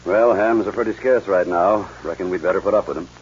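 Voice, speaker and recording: An actor playing a gruff, practical ranch boss in a 1940s radio western. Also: male voice, low voice, a little fast, a little hiss or hum, lightly acted. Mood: matter-of-fact. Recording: dull old radio recording.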